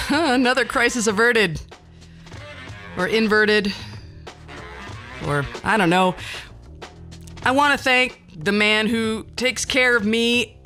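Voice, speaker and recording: A woman's voice: medium pitch, sharp tone. A woman hosting a podcast, telling comic personal stories in a playful, conversational way. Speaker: podcast host. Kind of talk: comedic personal storytelling podcast.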